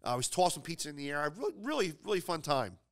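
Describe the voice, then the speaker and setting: Comedian host, male, slightly high clear tone, loose anecdotal delivery with halting repeats. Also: sing-song, fairly bright tone. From Philadelphia, United States. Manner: rambling, halting, repeats words for emphasis. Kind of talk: comedic anecdote storytelling, casual.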